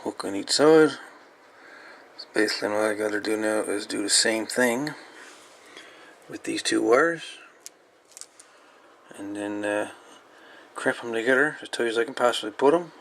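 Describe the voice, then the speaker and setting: Gravelly man talking through a repair. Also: fairly low pitch, fairly bright tone, a little hiss or hum. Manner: halting, folksy, colloquial grammar. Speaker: repair video host. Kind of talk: casual hands-on repair walkthrough. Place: indoor electronics workbench.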